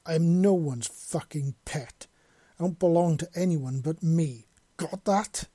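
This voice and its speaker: Guy playing proud human dude in an audiobook, blunt and snappy, bit husky. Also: medium pitch, fairly even intonation, moderate pace, mellow, dry recording, lightly acted. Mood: furious. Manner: blunt, sweary. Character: defiant young human man, proud, quick to snap.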